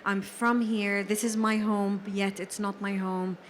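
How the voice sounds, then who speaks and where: A woman's voice: medium pitch, flat delivery, a little slow, a little hiss or hum. Woman moderating a panel. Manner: probing, conversational. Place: literary salon at a book fair.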